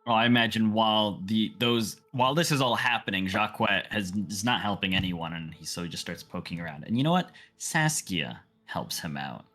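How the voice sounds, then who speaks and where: A man's voice: lowish voice, lively intonation, normal speed, few pauses, bright, forceful tone. A man playing a tabletop game, playful, describing what his character does. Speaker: player.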